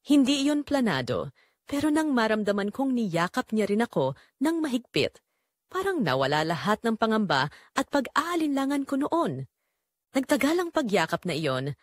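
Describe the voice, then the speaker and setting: A woman's voice: on the high side, no echo, clean studio sound. A Filipino woman narrating a scripted first-person audio drama in an emotive, confessional way.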